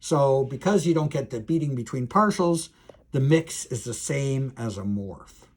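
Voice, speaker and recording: Guy prof doing a technical lecture, middle pitch, kinda rough husky voice, works it out as he talks. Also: lively intonation, measured pace. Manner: explanatory, hesitant, thinking aloud with self-corrections. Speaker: university professor lecturing.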